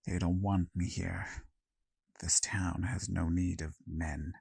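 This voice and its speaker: A rejected, secretive madman living wild on an island. His low male voice is badly husky and breathy, with halting, deliberate speech in an audio drama style. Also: flat delivery, a little mellow, no echo, heavily acted. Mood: guarded, bitter.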